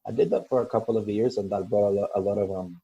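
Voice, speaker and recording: A man from El Salvador, a coach being interviewed, speaking thoughtfully with many pauses and ums. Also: lowish voice, steady tone, vocal fry, mellow, husky, no echo, dull audio. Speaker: guest, coach. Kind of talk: podcast interview.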